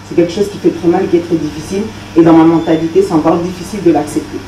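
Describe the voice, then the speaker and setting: A woman journalist from France who asks a question in a measured, polite and earnest way at a conference. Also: on the low side, fairly even intonation, dark tone, a little hiss or hum. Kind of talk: formal audience question. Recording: distant, echoey room with faint ambience.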